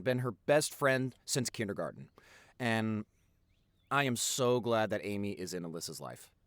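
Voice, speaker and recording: A man's voice: mid-range voice, a bit bright, no echo, lightly acted. A man acts out a protective teenage boyfriend telling family stories in an audio roleplay. His mid-range voice rambles and halts, doubling back on words for emphasis. The sound is dry, with no room around it. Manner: rambling, halting, repeats himself, emphatic.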